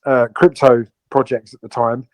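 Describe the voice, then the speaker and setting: A man's voice: medium pitch, level tone, moderate pace, dry recording. A man from the UK, co-owner of a crypto project, explaining it at length in an online Q&A with many fillers and restarts. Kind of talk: AMA guest explaining a project.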